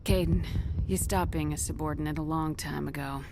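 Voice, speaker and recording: An actress playing a resolute, frank military commander in a video game, measured and determined. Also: female voice, low voice, fairly even intonation, noisy background. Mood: intimate, wry. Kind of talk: cinematic video game dialogue.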